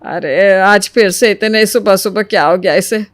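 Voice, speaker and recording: A woman playing a wary middle-aged Indian mother-in-law in an animated moral story, grumbling in exasperated asides and retorts. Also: mid-range voice, unhurried, no room sound, studio quality, close to an everyday voice.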